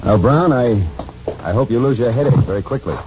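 A man acting in a 1940s radio drama as a kindly, stoic army major, calm, plain-spoken and gently blunt. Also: mid-range voice, brisk, close to an everyday voice. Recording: muffled, noisy 1940s radio recording.

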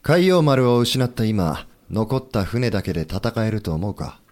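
An actor playing a resolute, Western-minded navy commander in a historical audio drama, speaking formally and persuasively with assurance. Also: male voice, on the low side, very animated, normal speed, darkish tone. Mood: insistent, persuasive.

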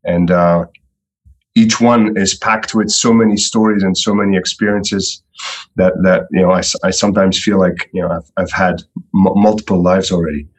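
A middle-aged man from Belgium, a guest telling his story in a podcast interview, relaxed and repeating words often. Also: low voice, normal speed, poor audio. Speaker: entrepreneur guest.

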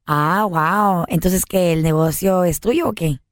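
A woman's voice: lowish voice, slow pace, dry recording. A woman co-host placing a prank phone call, talking in a playful, flirty way with bits of English mixed in. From United States, family from Honduras.

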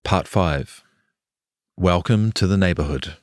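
A man's voice: low voice, slow. A man with a New Zealand accent reading a scripted longform feature as a journalist. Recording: music behind the voice.

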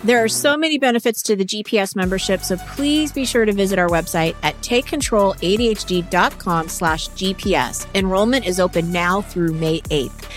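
Measured female podcast co-host, medium pitch. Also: faint music behind. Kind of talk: announcement and podcast chat.

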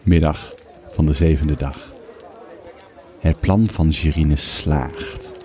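A man's voice: very deep voice, fairly even intonation, slow pace, background noise. A man acting as the composed storyteller of a Roman tale, narrating a scripted audio drama in the third person. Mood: measured narrating.

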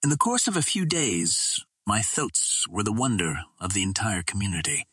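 A man reading a literary adventure story as an audiobook narrator, in a measured, formal storytelling delivery. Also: lowish voice, very animated, a bit bright, husky, dry recording.

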